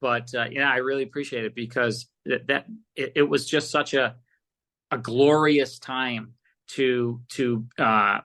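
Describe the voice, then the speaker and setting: A man's voice: medium pitch, steady tone, measured pace, pushed and bright. A middle-aged American man answering casually as an interview guest, earnest and emphatic, often repeating his phrases. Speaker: podcast guest, radio host and producer.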